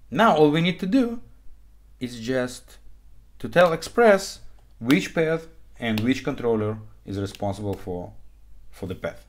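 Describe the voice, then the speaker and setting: A man, an English speaker explaining code informally and haltingly as an instructor in a live tutorial. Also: fairly low pitch, slight background noise. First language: not English; also speaks Hebrew, Russian and Ukrainian. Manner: halting, frequent okay check-ins, non-native phrasing. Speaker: programming instructor. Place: small indoor room, webcam screen recording.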